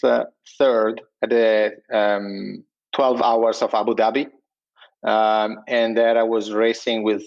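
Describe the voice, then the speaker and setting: A man's voice: lowish voice, lo-fi sound. A man with an Italian accent, an entrepreneur guest in a casual remote interview, talking hesitantly with many fillers and restarts. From Italy.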